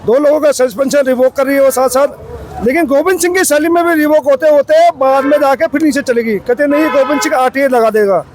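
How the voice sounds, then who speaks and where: A protest leader with a sore throat, outdoors, pleading a worker's grievance to a reporter. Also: male voice, extremely high pitch, brisk, darkish tone, a faint crowd. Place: outdoor protest site by a street.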